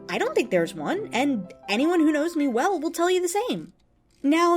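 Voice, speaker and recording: A woman voicing an earnest, lovestruck and easily offended devotee in a dramatic, emphatic reading of an open letter. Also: high-pitched. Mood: earnest, defensive. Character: earnest, lovestruck, indignant devotee of a cartoon pony.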